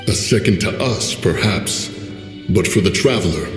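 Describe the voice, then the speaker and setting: Casting: thoughtful, composed immortal, low register, echoing, light music underneath. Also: male voice, lively intonation, normal speed. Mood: calm. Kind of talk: video game voice acting. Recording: echoing reverb, faint music.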